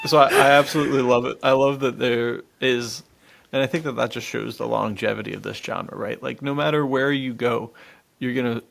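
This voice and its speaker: A man co-hosting a podcast, chatting casually and excitably in informal bro-ish slang. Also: mid-range voice, brisk, gravelly. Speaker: podcast co-host. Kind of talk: casual enthusiastic chat about adventure stories.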